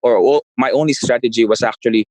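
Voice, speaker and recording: A man's voice: medium pitch, fast, a little mellow, no echo, low-quality recording. Man in his thirties, dry close sound. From Philippines. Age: 30s. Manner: searches for words, frequent restarts. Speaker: guest, property investor. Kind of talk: casual interview answers.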